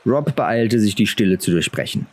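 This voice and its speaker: Male audiobook narrator, lowish register, scripted fantasy prose. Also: animated, normal speed, darkish tone.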